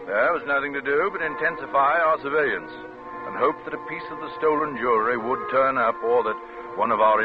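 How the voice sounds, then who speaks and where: An actor playing a sober British Scotland Yard detective, narrating a case in a measured, formal, official way in radio drama style. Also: male voice, mid-range voice, close to an everyday voice. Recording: dull old radio recording, loud music behind.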